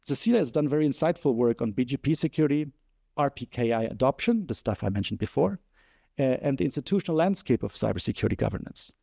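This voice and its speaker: A man moderating a panel forum, reading a scripted introduction at a measured pace with occasional um fillers. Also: mid-range voice, animated, creaky, warm tone, no echo, studio quality. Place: conference venue.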